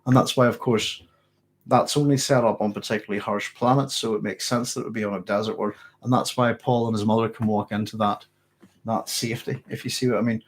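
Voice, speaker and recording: A man's voice: lowish voice, steady tone, fairly quick. A man hosting a late-night live science fiction Q&A, chatting loosely and correcting himself as he answers viewers' questions. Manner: rambling, self-correcting, conversational. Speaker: host of a science fiction channel.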